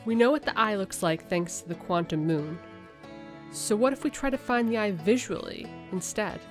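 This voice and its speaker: A woman's voice: mid-range voice, animated, moderate pace, faint music behind, lightly acted. A woman voicing a sincere, reflective female alien explorer, reading her written logs aloud. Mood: eager.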